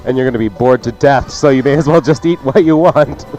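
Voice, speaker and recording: A man's voice: medium pitch, a little fast, darkish tone, dull audio, faint music behind. A man co-hosting a talk show with cheeky, joking banter. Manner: cheeky, wisecracking.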